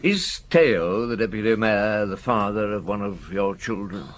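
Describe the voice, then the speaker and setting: An actor playing a shrewd, calm police chief inspector in a radio drama, with a thoughtful, probing manner. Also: male voice, fairly low pitch, lightly acted. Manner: probing, thoughtful, asks short measured questions. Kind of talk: radio drama acting with first-person narration.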